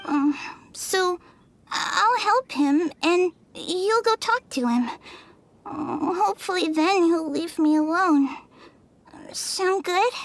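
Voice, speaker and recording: A woman's voice: very high voice, slow pace, full character voice. A woman voicing a timid little ghost girl in a video game, hesitant and stammering as she pleads. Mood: hesitant, hopeful.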